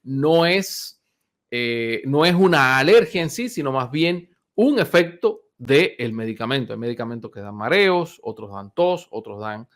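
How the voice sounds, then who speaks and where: Fifty-year-old male doctor, chatty and unhurried. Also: medium pitch, expressive, a bit bright. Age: 50s. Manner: unhurried, many fillers and restarts, conversational. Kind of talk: informal health talk to viewers.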